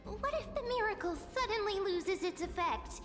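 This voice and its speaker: A woman's voice: very high voice, lively intonation, normal speed. A woman voicing a small, childlike fairy companion creature, curious and chirpy, in a video game. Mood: curious, cheerful. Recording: long hall reverb, game music.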